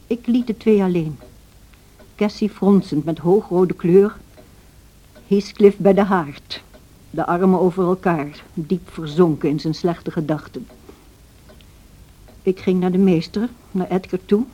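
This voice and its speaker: Observant servant narrator, lowish dark mellow voice, measured dramatic storytelling, radio drama. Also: female voice, dull audio. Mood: grave.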